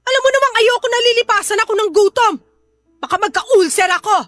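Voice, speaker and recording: Hot-tempered middle-aged Filipino mum, radio-drama melodrama. Very high, sharp, scolding and quick to threaten. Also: female voice, dry recording. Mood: angry, scolding. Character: hot-tempered, nagging, gambling widowed Filipino mother.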